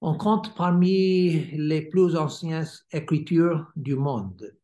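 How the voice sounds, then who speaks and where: An American man, a native English speaker, reads a spiritual lecture in careful, hesitant French with many pauses. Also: fairly high pitch, warm tone. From United States. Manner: halting, many hesitations while reading. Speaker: swami giving a lecture. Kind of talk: read-aloud spiritual lecture. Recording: roomy echo.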